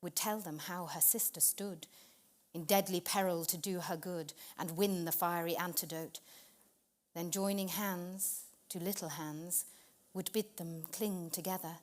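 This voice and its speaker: A woman performing a dramatic narrative poetry reading, expressive and measured, with deliberate pauses. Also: on the low side.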